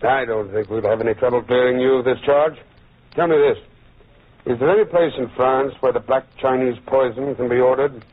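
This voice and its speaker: A man plays a calm, dignified judge in a vintage radio drama, questioning formally in a medium-pitched, slightly rough voice. The old recording sounds narrow and tinny. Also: moderate pace, lightly acted. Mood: brisk, assured.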